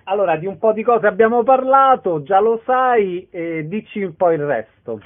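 A man's voice: sits high, expressive, normal speed, narrow, tinny audio. A man hosting a radio programme, interviewing guests about the week's events. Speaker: radio host. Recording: thin, band-limited radio sound.

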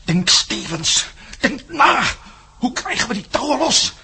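An actor in a radio play playing a defiant, hot-tempered captive hero, gruff and urgent in his delivery. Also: extremely high pitch, big pitch swings, measured pace, sharp tone, full character voice. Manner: gruff, urgent, swinging from muttering to outbursts. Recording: noisy radio play recording.

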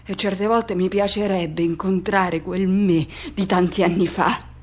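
A woman playing a lonely, self-effacing middle-aged man in an introspective, colloquial radio drama monologue with halting repetitions. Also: medium pitch, steady tone, natural voice. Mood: wistful, impassioned.

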